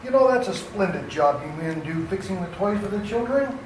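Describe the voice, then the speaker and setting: A man's voice: high-pitched, expressive, brisk. A man playing a prim, mild minister in a radio comedy, proper, earnest and hesitant. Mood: polite concern. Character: prim, mild, disapproving minister visiting for tea. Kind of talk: old-time radio comedy acting. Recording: echoey distant pickup with clear noise.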